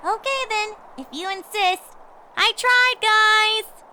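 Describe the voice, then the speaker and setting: A woman voicing an earnest, excitable, easily exasperated little pony filly in a girlish cartoon style, for a story reading. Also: extremely high pitch, slow pace, noisy background, pitched above the performer's own voice. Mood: earnest, polite. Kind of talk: cartoon-style character reading of fan fiction.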